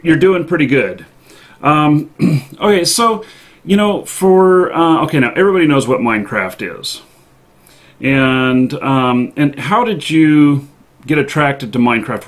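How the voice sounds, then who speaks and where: Male live-stream host. Casual, halting delivery, thinking aloud, middle-pitched everyday voice. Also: animated.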